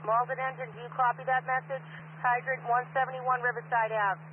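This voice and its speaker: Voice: mid-range voice, level tone, brisk. Fire dispatcher on tinny, noisy radio. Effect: two-way radio. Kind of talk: terse emergency dispatch.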